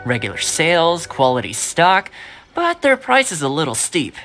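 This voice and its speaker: A man voicing a polite, easygoing young fixer in an anime-style video game, explaining things calmly and tactfully. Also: fairly high pitch, normal speed. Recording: game music behind.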